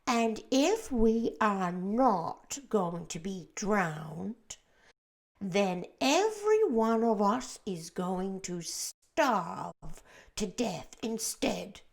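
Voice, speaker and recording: A woman voicing a gloomy, complaining blind male earthworm who draws out his words slowly and sounds doomed. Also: mid-range voice, big pitch swings, gravelly, heavily acted. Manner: slow, drawn-out and doom-laden.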